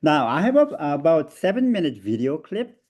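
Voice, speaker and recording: A man with a Korean accent leads a seminar over a video call. His pitch rises and falls a lot, and he laughs easily between hesitant fillers. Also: very animated, moderate pace, darkish tone. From South Korea. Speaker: seminar presenter. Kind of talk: online seminar talk.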